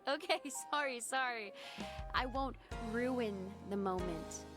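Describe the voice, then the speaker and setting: A woman's voice: on the high side, expressive, slow pace, over music. A woman voicing a teasing, friendly young classmate in a game fandub. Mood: amused apology. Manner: teasing, giggly. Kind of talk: visual novel fandub acting.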